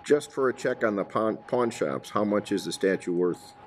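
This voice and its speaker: A man playing a calm, businesslike police detective partner in a radio play, brief and matter-of-fact. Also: fairly low pitch, normal speed, slight background noise, close to an everyday voice.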